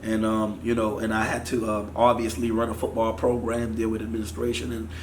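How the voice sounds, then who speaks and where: A man in his thirties from Miami, a football coach talking in a casual interview, animated. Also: on the low side, brisk. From Miami, Florida. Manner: animated, gestures while talking. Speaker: football offensive coordinator. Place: studio set with sponsor backdrop. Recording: echoey room with faint noise.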